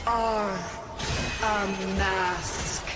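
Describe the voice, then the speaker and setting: Very slow, tormented, defiant guardian. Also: female voice, medium pitch, steady tone. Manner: halting, solemn, word by word. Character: tormented, defiant motherly female guardian, halting and solemn. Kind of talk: dramatic video game cutscene acting. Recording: loud music under the voice. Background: loud cinematic music.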